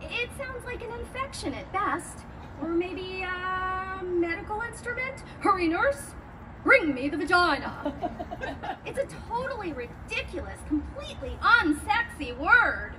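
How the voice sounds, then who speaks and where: A woman on stage plays a wry, outspoken woman in a comic monologue. Her high voice moves slowly with big swings, over loud venue noise. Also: big pitch swings, recorded from a distance, full character voice. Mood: mocking, amused. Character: wry, outspoken wife airing intimate grievances. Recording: very noisy live venue recording.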